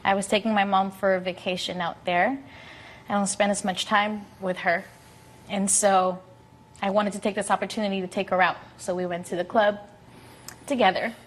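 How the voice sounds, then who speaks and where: A woman's voice: medium pitch, level tone, normal speed, slight background noise. A young woman, the defendant on a TV court show, answering the judge directly. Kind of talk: courtroom testimony.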